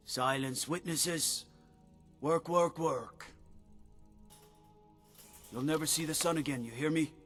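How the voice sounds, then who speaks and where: Smug corrupt city guard, slow threats, long reverb, faint music, fantasy game. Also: male voice, fairly high pitch. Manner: menacing, drawn-out delivery.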